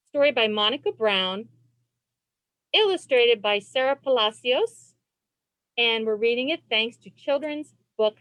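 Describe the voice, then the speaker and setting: A woman reading a children's storybook aloud as host, phrasing expressively and deliberately for young listeners on a video call. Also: on the high side, fairly bright tone, no room sound. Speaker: librarian and read-aloud host.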